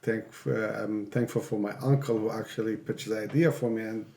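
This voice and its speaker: A man's voice: lowish voice, fairly even intonation, fairly quick, vocal fry, mellow. A man, a father of four, talking hesitantly and searching for words in a family chat. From Lebanon. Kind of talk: casual family conversation.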